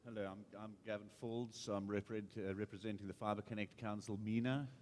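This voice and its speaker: A man with a fairly low voice gives a short introduction on a conference panel, restarting a phrase here and there. A faint hum of room noise sits behind him. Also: fairly even intonation, brisk, darkish tone.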